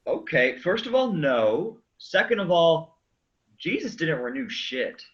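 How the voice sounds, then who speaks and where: A man's voice: on the high side, very animated, unhurried. Man playing a weary, sardonic lover, slightly muffled. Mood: exasperated. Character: Lazarus, weary, brooding, sardonic young man. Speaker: stage actor in a play reading. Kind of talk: staged play reading.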